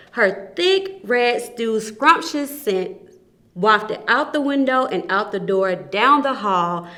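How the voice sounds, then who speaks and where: A woman reads a picture book to children. Her delivery is deliberate and expressive, with an animated, swooping pitch and a slow pace that leaves room for each phrase. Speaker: volunteer children's story reader.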